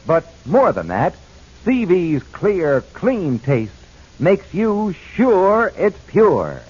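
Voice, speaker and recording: A man's voice: high voice, wildly swooping pitch, very slow, a little mellow, breathy edge. A man announcing in a polished 1940s radio commercial style, selling with scripted care. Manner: polished salesmanship. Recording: muffled vintage radio recording.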